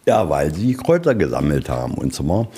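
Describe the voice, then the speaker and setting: Very deep-voiced man, mellow museum guide. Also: sing-song, fairly quick. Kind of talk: informal guided tour explanation.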